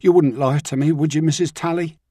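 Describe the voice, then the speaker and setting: A man's voice: on the high side, fairly even intonation, a little fast, dark tone, no room sound, clean studio sound, voice pushed up, natural voice. A man reading an audiobook, voicing a desperate, sulky young man on the run, pleading and frightened.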